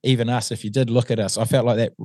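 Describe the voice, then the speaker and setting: A man with a New Zealand accent, an assistant rugby coach talking as a guest, his voice a little hoarse and his speech full of ums and restarts. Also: mid-range voice, little pitch movement, very fast, mellow, dry recording, clean studio sound. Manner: hesitant, many ums and restarts. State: slightly hoarse. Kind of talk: casual interview chat.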